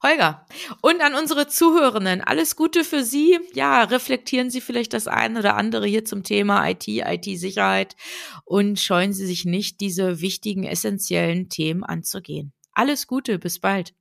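A woman's voice: moderate pace, creaky. A woman hosting a podcast interview, summing up and giving effusive thanks. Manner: warm effusive thanks, rises high in farewells. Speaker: podcast host.

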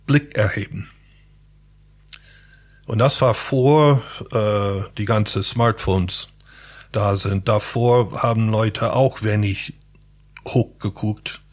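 A man's voice: lowish voice, fairly even intonation, slow pace, long pauses. A man who is a native English speaker, speaking German with an accent as an interview guest, thoughtful and searching for words. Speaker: interview guest, pastor and painter. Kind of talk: reflective interview talk.

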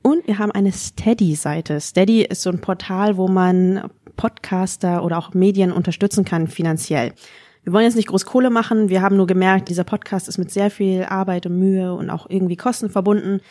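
A woman's voice: mid-range voice, fairly even intonation, fairly quick, warm tone. A woman co-hosting a podcast, talking casually in an informal, colloquial way. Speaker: podcast co-host.

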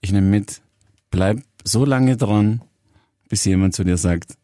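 Male co-host with a fairly low voice, casual chat, close dry recording. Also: slow, mellow, no room sound. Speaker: podcast co-host. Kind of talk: casual conversational hosting.

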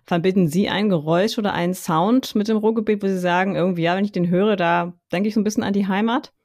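A woman's voice: medium pitch, fairly even intonation, fast, a little mellow, no room sound, clean studio sound. A woman from Hamburg co-hosting a podcast, asking a guest friendly questions. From Hamburg, Germany. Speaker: podcast co-host. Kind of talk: interviewing.

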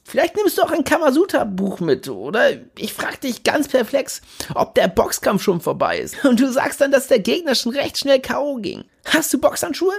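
A man's voice: extremely high pitch, wildly swooping pitch, moderate pace, creaky, breathy edge, voice pushed up, heavily acted. A man reading aloud, voicing a pushy, boisterous young man who plans wild parties and schemes with overexcited energy. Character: brash pushy party-mad young man.

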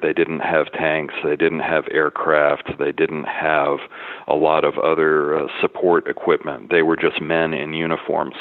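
A man's voice: very deep voice, no echo. A man from the United States, a historian, giving thoughtful, explanatory answers as an interview guest. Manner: thoughtful, explanatory, with some restarts. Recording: dry, phone-like line.

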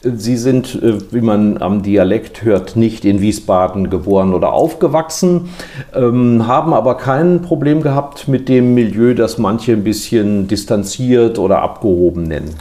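A man's voice: fairly low pitch, moderate pace, warm tone. An older man hosting an interview, asking questions in a jovial way with frequent quips. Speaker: interviewer, host.

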